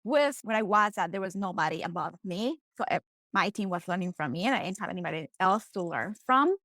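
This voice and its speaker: A woman's voice: mid-range voice, animated, fairly bright tone, dry recording, studio quality. Friendly Colombian-accented woman guest. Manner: friendly, quick, laughs easily. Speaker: marketing agency owner. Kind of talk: casual interview.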